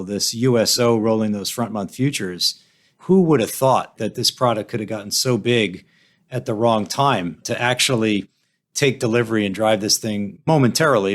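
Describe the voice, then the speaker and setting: A man hosting a finance podcast, conversational and discursive as he builds up to his questions. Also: lowish voice. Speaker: podcast host and interviewer.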